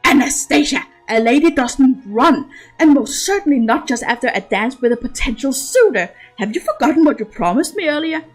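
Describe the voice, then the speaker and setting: A woman voicing a stern, cruel noble mother in a story, with an imperious, measured way of speaking. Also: high voice, animated, faint music behind, pitched above the performer's own voice. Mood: scolding.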